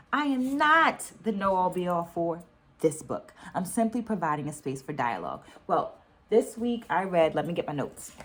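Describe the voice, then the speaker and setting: A middle-aged woman talking to viewers in a reflective book vlog, speaking articulately and deliberately, repeating phrases for emphasis. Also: fairly low pitch. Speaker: book reading vlogger, dancer and educator. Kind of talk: reflective book-takeaway vlog, semi-scripted talk to viewers.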